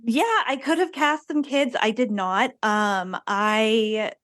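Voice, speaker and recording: A woman's voice: fairly high pitch, slow pace, a bit bright. A woman speaking casually and earnestly as an interviewed theater director, with an enthusiastic manner.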